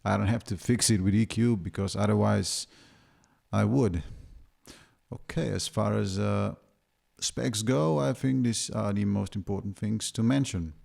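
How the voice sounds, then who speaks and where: Deep, gravelly-voiced man reviewing gear. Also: a little slow. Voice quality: deep, boomy voice. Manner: relaxed, chatty, with filler words. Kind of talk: casual product review.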